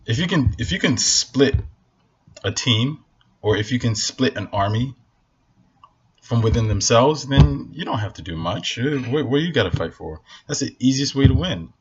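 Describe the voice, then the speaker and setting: A man's voice: mid-range voice, animated, normal speed, slightly rough, highs cut off. A man from Northern Nigeria, a native Hausa speaker, giving a blunt, impassioned opinion rant to camera in a casual vlog. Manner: blunt, impassioned, repeats for emphasis. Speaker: vlogger.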